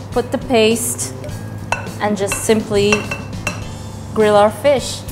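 A young woman with a mid-range voice guides viewers through a recipe in a relaxed, step-by-step way. Music plays under her voice, and pans clink in the background. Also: mid-range voice. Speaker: cooking show host. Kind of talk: cooking demonstration. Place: restaurant kitchen studio, indoors. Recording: studio cooking show with music under the voices. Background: music, clinking pans and cutlery.

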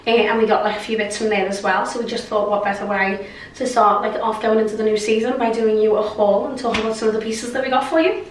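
A young woman, hoarse from a cold, chatting casually through a clothing haul with lots of fillers. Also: medium pitch, steady tone, fast talker, slight background noise. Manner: chatty, many fillers and likes. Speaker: fashion vlogger. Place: bright bedroom with clothes rail. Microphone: camera mic from across the room. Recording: roomy echo, mic at a distance.

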